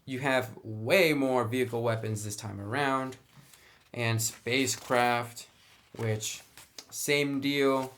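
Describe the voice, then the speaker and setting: Guy chatting thru an rpg book review, medium pitch, into it, unscripted. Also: fairly even intonation, slow, fairly bright tone, a little hiss or hum. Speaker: reviewer of tabletop roleplaying books. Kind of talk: casual unscripted review commentary.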